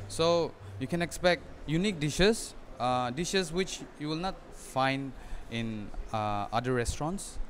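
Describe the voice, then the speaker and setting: A man's voice: medium pitch, slight background noise. Young man answering a reporter. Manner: hesitant, restarts often, earnest. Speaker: restaurant owner. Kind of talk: on-camera news interview. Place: indoor restaurant dining area. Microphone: handheld reporter mic.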